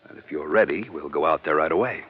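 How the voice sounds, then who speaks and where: An actor playing an earnest, suspicious civic official in a radio drama, firm and insistent. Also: male voice, a bass voice, fast. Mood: businesslike, earnest. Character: earnest, suspicious male civic official pressing his case. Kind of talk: 1950s radio drama acting. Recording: dull, hissy old radio recording.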